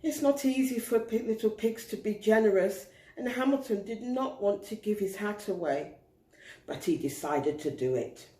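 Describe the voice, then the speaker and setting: A woman's voice: medium pitch, expressive, normal speed, warm tone. A woman storyteller reading expressively to young children, recorded in a roomy space. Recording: roomy echo.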